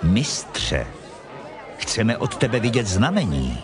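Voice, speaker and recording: Low-voiced demanding scribe in crowd. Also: male voice, very animated. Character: demanding male scribe challenging a teacher. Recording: crowd noise behind.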